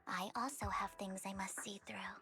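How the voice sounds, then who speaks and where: An actress playing a composed, resolute and gently mysterious young woman in a video game, with polite, elegant phrasing. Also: medium pitch, steady tone, moderate pace, faint music behind, close to an everyday voice.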